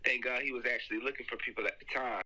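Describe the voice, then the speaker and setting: A man's voice: medium pitch, steady tone, very fast, bright, forceful tone. A young man telling his story casually in an interview. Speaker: interviewee, video assistant. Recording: dull muffled audio.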